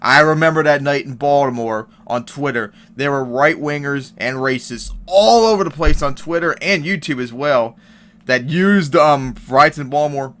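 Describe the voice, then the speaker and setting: A man's voice: on the high side, moderate pace, fairly bright tone. A man giving heated, profane commentary on an article and news clips, reading passages aloud and reacting. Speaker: commentary vlogger.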